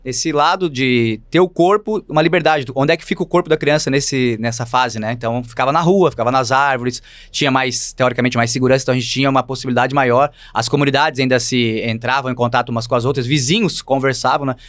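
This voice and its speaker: Fast-talking playful man from Santa Catarina. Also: mid-range voice, steady tone, fairly bright tone, dry recording. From Santa Catarina, Brazil. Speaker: guest, physical education teacher. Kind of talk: podcast interview. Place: studio.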